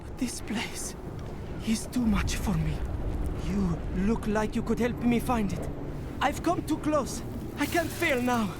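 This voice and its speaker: Obsessed, high-voiced relic hunter. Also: male voice, a little slow. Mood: urgent. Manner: fervent, dramatic. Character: obsessed, desperate, weary male relic hunter. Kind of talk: fantasy game voice acting. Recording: clear noise and ambience behind.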